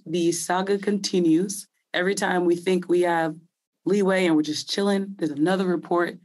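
Slightly hoarse woman hosting a podcast. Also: lowish voice, darkish tone. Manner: chatty, laughs easily. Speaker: podcast host. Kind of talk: casual sports talk.

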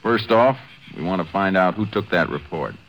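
An actor playing a calm, firm Texas Ranger investigator with plain-spoken authority in a 1950s western radio drama. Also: male voice, low voice, normal speed, slight background noise, rough recording. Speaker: lead actor and narrator. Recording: old radio recording with music.

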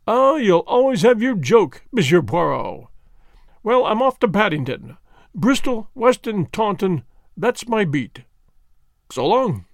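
A man's voice: high-pitched, sing-song, slow, creaky, no room sound, studio quality, pitched above the performer's own voice, heavily acted. A man reading the part of a breezy, chummy middle-aged police inspector who is mildly condescending. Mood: hearty.

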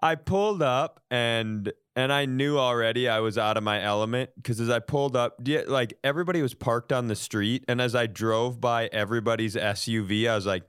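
A man's voice: mid-range voice, brisk, few pauses, fairly bright tone, clear, resonant tone, no room sound, studio quality. A man hosting a comedy podcast, telling casual comic stories in a joking, self-deprecating way with some swearing. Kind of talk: casual comic storytelling and banter.

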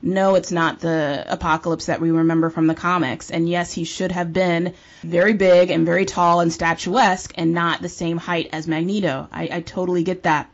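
A woman with a fairly low voice talks casually as a panelist in a film discussion. She is emphatic and opinionated. The recording is a bit dull and muffled, with lo-fi sound.

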